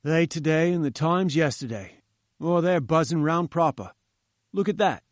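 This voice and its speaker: Man doing rough rustic gatekeeper, raised pitch, dry close sound. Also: lively intonation, moderate pace, no room sound, lightly acted. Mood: wary, gruff. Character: huge gruff male gatekeeper.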